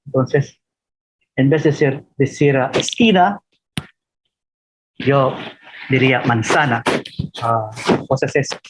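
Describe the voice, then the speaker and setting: A man from the Philippines, giving an informal lecture as a professor and telling anecdotes in a halting, self-deprecating way. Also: medium pitch, a little hiss or hum, low-quality recording.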